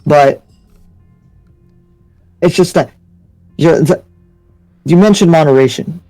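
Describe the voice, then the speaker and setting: Casting: male player as stern questioning townsman for anime game roleplay, lecturing and insistent, soft-edged timbre, music underneath. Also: on the high side, slow, poor audio. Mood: probing, skeptical. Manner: pressing, repetitive questioning with lecturing tone. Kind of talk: improvised anime Minecraft roleplay.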